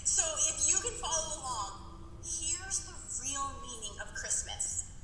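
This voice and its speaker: High-voiced woman in an echoing hall. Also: animated, unhurried. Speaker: presenter talking with Santa. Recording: distant, very echoey hall, music behind.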